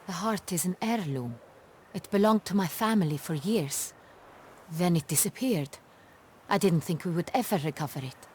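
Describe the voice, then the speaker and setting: A woman playing a poised, earnest noble ruler in a fantasy video game, with formal and measured phrasing. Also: lowish voice, animated, a little mellow, a little hiss or hum, natural voice. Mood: composed. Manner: formal, measured, precise wording. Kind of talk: video game dialogue acting.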